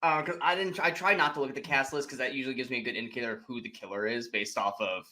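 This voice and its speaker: A man co-hosting a film podcast in a casual chat, animated and sweary, acting out his reactions as he retells things. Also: mid-range voice, very fast. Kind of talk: casual movie spoiler chat.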